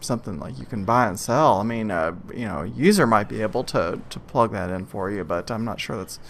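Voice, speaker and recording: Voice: mid-range voice, lively intonation, brisk, a little hiss or hum. Hesitant game master thinking aloud. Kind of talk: casual improvised game mastering.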